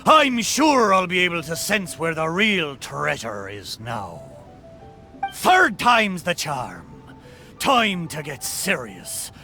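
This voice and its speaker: A man's voice: on the high side, very animated, takes it slowly, bright, forceful tone, soft background music, full character voice. A man voicing a boastful, good-humored spirit of an old pirate ship in a video game, talking in hearty, swaggering pirate style. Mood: jovial, confident. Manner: hearty, bragging, swaggering pirate talk with "arr". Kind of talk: video game character acting.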